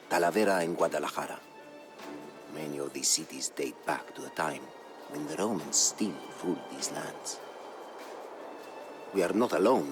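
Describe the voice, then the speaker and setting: An actor playing a proud, solemn Berber chronicler, narrating a video game campaign in a grave, measured, formal manner. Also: male voice, low voice. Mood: solemn, awed. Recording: studio voice-over with music behind.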